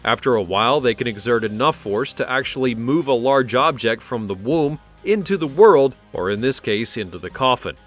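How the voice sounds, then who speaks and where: A man's voice: medium pitch, animated, moderate pace, hardly any pauses, soft background music. A man reading a scripted countdown narration in a dramatic storytelling way, with wry conversational asides.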